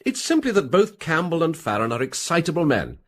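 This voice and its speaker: An actor playing an evasive, defensive British gentleman suspect in a radio detective drama, hesitant and drily understated. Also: a little high, big pitch swings, a little fast. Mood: defensive, uneasy.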